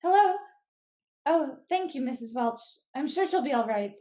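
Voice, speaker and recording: A woman's voice: high voice, expressive, moderate pace, mellow. An actress playing a patient, worried housewife and mother in an old-time radio play. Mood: concerned.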